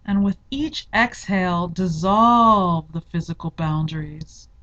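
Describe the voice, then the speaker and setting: Slow-paced woman guiding meditation. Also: fairly low pitch, a bit bright, muffled recording. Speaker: yoga nidra meditation guide. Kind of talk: guided meditation, slow instructional narration.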